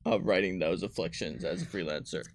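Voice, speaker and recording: A man's voice: deep voice, a little fast, creaky, no echo. Man hosting casually, very dry sound. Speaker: host and game designer. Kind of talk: casual livestream interview.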